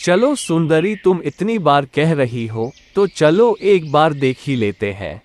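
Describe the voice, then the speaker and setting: A woman's voice: low-pitched, very animated, a little slow, a little mellow, a little hiss or hum, pitched below the performer's own voice. A woman voicing an impatient, dismissive male crow, a husband in a cartoon story. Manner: brusque, dismissive. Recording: music underneath.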